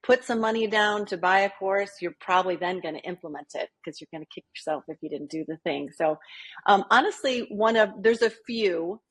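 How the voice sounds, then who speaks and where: A woman's voice: mid-range voice, fairly quick, husky, low-quality recording. A woman, a small business coach, speaking as a guest on a podcast interview in a chatty way that keeps checking in with her listeners. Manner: chatty, keeps asking "right?".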